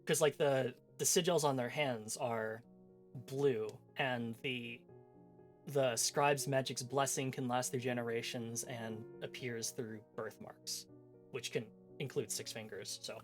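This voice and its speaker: A man's voice: medium pitch, fairly even intonation, slow pace. A man chatting casually on a game livestream in a rambling, self-deprecating way. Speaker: game streamer. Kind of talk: casual livestream chat, reading visual novel aloud. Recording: music behind the voice. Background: background music.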